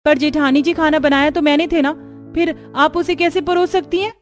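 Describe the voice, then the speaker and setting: A woman voicing a dutiful, expressive young daughter-in-law in an animated family story. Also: sits high, moderate pace, soft background music, lightly acted. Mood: indignant. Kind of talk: Hindi cartoon story acting.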